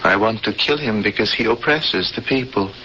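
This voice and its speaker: A man's voice: fairly low pitch, moderate pace, sharp tone, dull audio. An actor playing an earnest, resigned male alien prisoner in a 1970s radio drama, on an old hissy recording.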